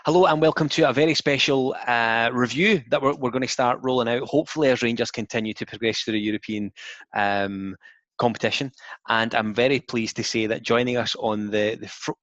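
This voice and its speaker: A man's voice: medium pitch, fairly even intonation, a little fast, fairly bright tone, dry recording, lo-fi sound. A man hosting a casual podcast interview from home on a headset, chatty and given to restarts. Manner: chatty, with frequent restarts and fillers. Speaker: podcast host. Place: home room, video call.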